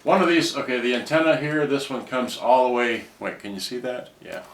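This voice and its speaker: A man's voice: mid-range voice, brisk. A man giving a casual hands-on product demonstration, rambling and often trailing off mid-sentence, picked up by a distant microphone. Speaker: cassette player restorer presenting his own items. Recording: roomy, distant microphone with background noise.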